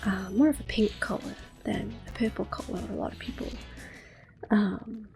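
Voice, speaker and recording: A young woman giving calm, soft-spoken ASMR commentary on a game she is playing, drifting often into close whispers with gentle fillers. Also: mid-range voice, normal speed, vocal fry. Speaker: ASMR gaming host. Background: faint video game music.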